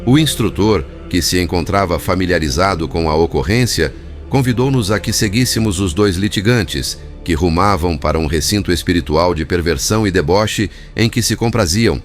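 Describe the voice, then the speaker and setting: Deep-voiced male audiobook narrator. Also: animated, normal speed. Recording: music bed behind narration.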